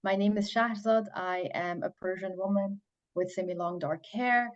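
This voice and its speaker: A woman's voice: mid-range voice, normal speed. A woman from Iran who grew up in Belgium, speaking as a panelist from her home office on a video call and introducing herself eagerly. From Iran, raised in Belgium. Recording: dull lo-fi call audio.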